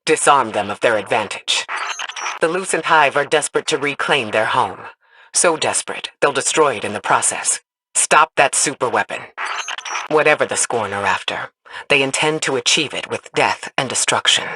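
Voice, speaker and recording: A woman's voice: extremely low pitch, expressive, normal speed, background noise. A woman voicing a solemn spymaster in a video game, giving mission briefings in a formal, authoritative manner. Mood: grave urgency. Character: solemn, commanding female spymaster, measured and grave.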